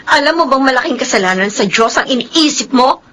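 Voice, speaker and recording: A woman playing a distressed, indignant young Filipino daughter, highly emotional, in a radio drama. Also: expressive, fairly quick, a bit bright, slight background noise. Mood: shocked, indignant. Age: young adult.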